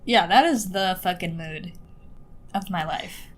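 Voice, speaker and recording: A woman's voice: fairly low pitch, measured pace. A woman co-hosting a podcast with dry, playful sarcasm in casual comedic banter. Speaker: podcast co-host.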